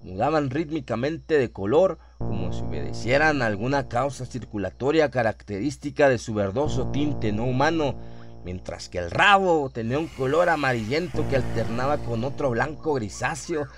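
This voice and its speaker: A man's voice: mid-range voice, animated, normal speed. Male horror narrator over music. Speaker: narrator of a horror audio drama. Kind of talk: scripted horror story narration.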